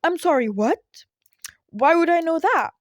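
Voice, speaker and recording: Woman putting on a blustering, excitable male hero. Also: very high voice, measured pace, no room sound, clean studio sound, pitched above the performer's own voice. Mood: incredulous, arguing. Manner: blustering, incredulous. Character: excitable, doubting, self-important male hero.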